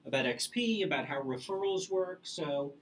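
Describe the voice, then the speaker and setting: A man co-hosting a live-streamed question-and-answer session, talking casually and haltingly with many "uh"s. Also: on the high side, lively intonation, rough recording. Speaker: company staff host answering questions.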